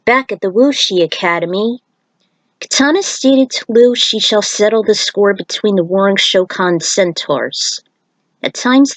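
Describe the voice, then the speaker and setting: A woman's voice: mid-range voice, no echo, rough recording. Dry-sounding woman narrating a story.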